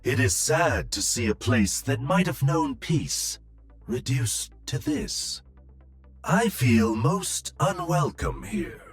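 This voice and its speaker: A man playing a serene, philosophical robot monk in a video game, meditative and deliberate. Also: mid-range voice, very animated, husky, faint music behind, exaggerated voice.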